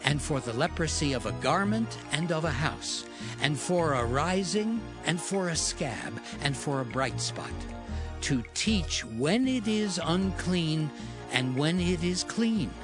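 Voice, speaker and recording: A man's voice: a little high, animated, slow pace. Man narrating scripture over music. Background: background music.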